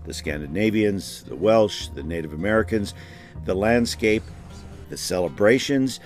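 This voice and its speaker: A man's voice: fairly low pitch, a little slow, soft background music. A man hosting a travel show, reading scripted narration. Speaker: host and narrator.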